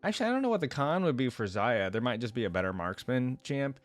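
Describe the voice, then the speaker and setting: A man's voice: mid-range voice, very animated, fast talker, no echo. A man giving casual, off-the-cuff reaction commentary as the host of a video.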